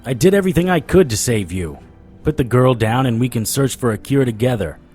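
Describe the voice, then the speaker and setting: A man's voice: medium pitch, animated, fairly quick, a little mellow, soft background music, close to an everyday voice. A man playing a haunted, dogged supernatural investigator, telling his story in first person in a hard-boiled audio drama style. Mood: pleading, defiant.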